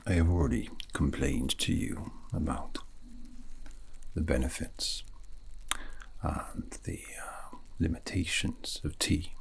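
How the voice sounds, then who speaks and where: A man playing a gentle, wistful elven dream mage in an ASMR fantasy roleplay, murmuring slowly and poetically in a breathy, gravelly half-whisper. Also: very deep voice, heavily acted. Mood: musing, gentle. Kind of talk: ASMR fantasy roleplay, soothing sleep guidance.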